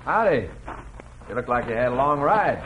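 An actor playing a blunt young rancher with curt cowboy talk in an old-time radio Western. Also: male voice, mid-range voice, very animated, moderate pace, highs cut off, lightly acted. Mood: hospitable. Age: young adult. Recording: noisy old radio recording.

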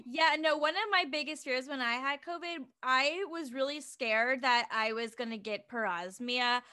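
A woman's voice: high-pitched, moderate pace, sharp tone, clear, resonant tone. A woman hosting a podcast in casual chat, chatty and giggly, with lots of "like" fillers. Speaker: podcast host.

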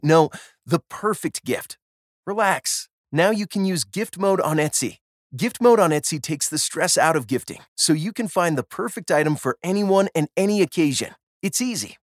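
A man's voice: on the high side, no room sound, studio quality. A man reading an upbeat, scripted advertisement in a reassuring tone. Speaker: ad announcer. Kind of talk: scripted ad read.

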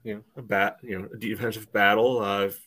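Low-voiced man talking sports casually. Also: little pitch movement, a little fast, fairly bright tone, breathy edge. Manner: hesitant phrasing, peppered with "you know". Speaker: podcast co-host.

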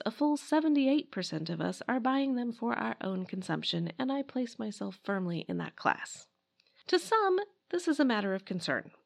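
American woman narrating, slightly creaky voice. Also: fairly high pitch. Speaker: podcast host. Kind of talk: scripted narration of a history podcast.

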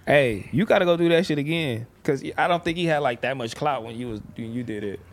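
A man's voice: medium pitch, animated, brisk. A man co-hosting a podcast, joking and acting mock-offended in slangy casual banter. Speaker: podcast co-host.

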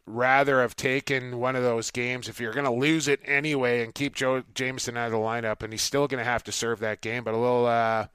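Clear-voiced man hosting a sports podcast. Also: medium pitch, flat delivery, a little fast, hardly any pauses, fairly bright tone, dry recording. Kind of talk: casual sports chat.

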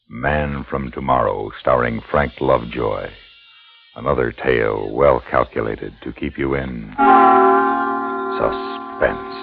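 A man's voice: extremely low pitch, vocal fry, breathy edge. A man working as a program announcer, reading scripted credits in 1950s radio style. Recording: tinny vintage radio recording with music.

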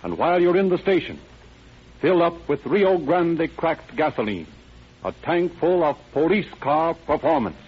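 A man's voice: on the high side, very animated, a little slow, a little hiss or hum. A man with an American accent narrating and announcing a 1930s radio show in a polished, dramatic delivery. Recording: dull 1930s radio recording, music behind.

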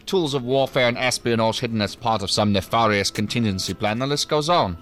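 A man acting a jaded male professor in a sci-fi audio drama. He lectures in wordy, condescending sentences with dry sarcasm. His pitch sits in the middle range, with faint music behind him. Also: a little fast, fairly bright tone, natural voice. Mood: sardonic, lecturing. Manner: pompous, wordy, sardonic.

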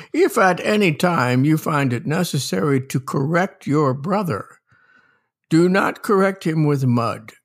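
Wry older male humorist, played by an actor, mock-solemn and drawling, with a swooping pitch and slow pace for a radio reading. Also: gravelly, slightly rough, exaggerated voice. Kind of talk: theatrical dramatic reading of a comic essay.

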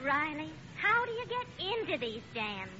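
Heavy noise and dull old radio sound surround an exasperated wife. Her very high voice is lively, sensible and fond. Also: moderate pace. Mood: puzzled exasperation. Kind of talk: 1940s radio comedy acting. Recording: very noisy, muffled old radio recording.

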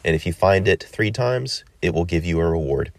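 Stuffy low-voiced man hosting a podcast. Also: animated, brisk, vocal fry, dry recording. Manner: rambling, many ums and uhs. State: stuffy from a cold. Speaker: podcast host. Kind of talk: casual solo podcast talk.

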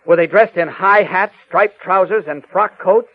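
A man playing a level-headed, inquisitive newspaper reporter in an old radio drama, speaking in a brisk, probing and reassuring way. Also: high-pitched, close to an everyday voice. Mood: curious, probing. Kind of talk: 1940s-50s radio drama acting. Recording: muffled, phone-like vintage radio recording.

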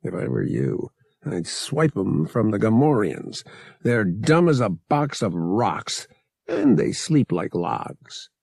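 A man's voice: lowish voice, sing-song, slow pace, vocal fry, darkish tone, breathy edge, no echo, clean studio sound, exaggerated voice. A man voicing a casual, practical young smuggler pilot in an audiobook, slangy and wry, dropping word endings. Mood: wry, decisive. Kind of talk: audiobook character voice.

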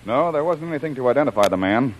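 An actor playing a brisk, confident government agent in an old-time radio adventure, clipped and businesslike. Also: male voice, mid-range voice, animated, natural voice. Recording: dull old radio recording.

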